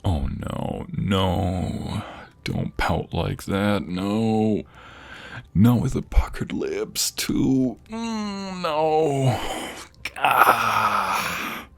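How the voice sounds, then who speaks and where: A man playing an affectionate, playfully teasing husband in an intimate ASMR roleplay, soft, husky and gravelly, with murmured coaxing and breathy whispers. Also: lowish voice, very animated, drawn-out words, heavily acted. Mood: playful, mock-resisting. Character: affectionate, playfully teasing, sappy husband doting on his wife.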